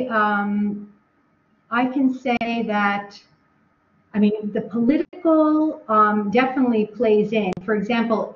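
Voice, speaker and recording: An American woman answering questions as a webinar guest from home, rambling with many ums and restarts. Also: on the high side. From United States. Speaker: guest speaker. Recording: echoey, dull video call audio.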